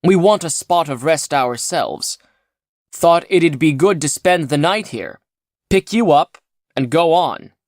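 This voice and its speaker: Narrator doing a brisk, kindly spaceship crewman. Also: mid-range voice, animated, no room sound, clean studio sound, voice pushed up.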